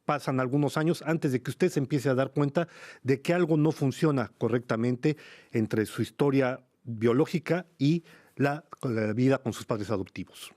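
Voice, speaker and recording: A man hosting a radio interview, speaking in a measured, formal way and leading with long framing questions. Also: mid-range voice, darkish tone. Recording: radio broadcast studio.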